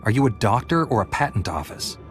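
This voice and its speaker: An actor playing a confident, direct and helpful knightly hero in a sci-fi video game. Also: male voice, mid-range voice, sing-song, brisk, soft background music, natural voice. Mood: resolute. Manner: direct, resolute. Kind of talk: video game dialogue acting.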